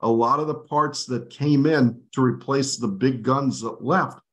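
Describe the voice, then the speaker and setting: A middle-aged man hosts a postgame show, walking through the game in a calm, analytical way. He speaks at an ordinary pitch and pace. His voice is picked up by a webcam on a video call. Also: measured pace, muffled recording. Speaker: host. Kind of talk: postgame sports analysis chat.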